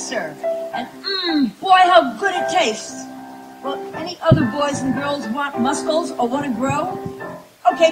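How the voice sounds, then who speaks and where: Woman as high, gushing old-time radio announcer. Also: low-quality recording. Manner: gushing sales pitch. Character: cheery 1930s radio spinach pitchwoman announcer. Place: outdoor stage in woods. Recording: live outdoor stage, loud music.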